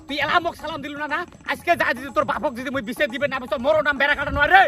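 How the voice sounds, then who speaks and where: A man playing a cranky, easily offended fasting elder in a comedy skit, hectoring with repeated questions in a northern Bangladeshi Rangpuri accent. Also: very high voice, steady tone, sharp tone, soft background music. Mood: scolding, indignant. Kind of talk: comedy skit acting in rural dialect.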